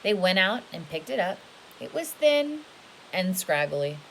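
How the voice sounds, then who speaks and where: A woman's voice: on the low side, very animated, a little slow, low-quality recording. Woman reading to children, faint hiss. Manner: instructive, checks in often. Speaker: read-aloud storyteller. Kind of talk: picture-book reading to children, with questions. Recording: home recording with faint hiss.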